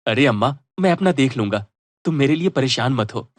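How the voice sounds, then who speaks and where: A man voicing a gentle, devoted young stepson in a Hindi cartoon story, with a husky voice. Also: mid-range voice, expressive, fairly quick, dry recording, natural voice. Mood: gently coaxing.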